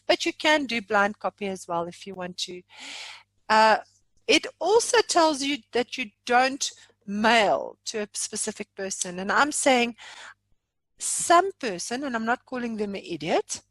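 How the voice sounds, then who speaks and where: A South African woman giving an informal software demonstration, chatty and jokey, poking fun at herself. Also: fairly high pitch, animated, measured pace, no room sound. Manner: chatty, jokey, self-deprecating. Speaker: software trainer.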